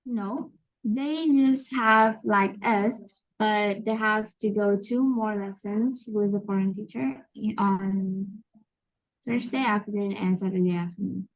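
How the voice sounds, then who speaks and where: A young woman with a Vietnamese accent, an English learner speaking in halting sentences and searching for words. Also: mid-range voice, rough recording. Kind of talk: online English lesson conversation.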